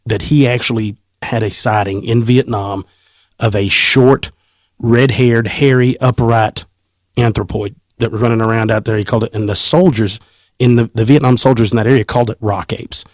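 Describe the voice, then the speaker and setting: A man, hosting a casual podcast and reading a story aloud in an animated, teasing way. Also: mid-range voice, moderate pace, dry recording, clean studio sound. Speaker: podcast host.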